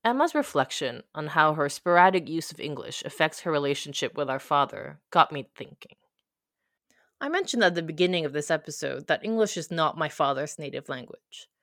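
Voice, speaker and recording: A woman's voice: sits low, sing-song, brisk, fairly bright tone, no room sound, studio quality. A young woman from Quebec whose first language is French, reading her own reflective personal story. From Quebec, Canada. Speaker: narrator of a personal audio piece. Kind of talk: scripted personal narration.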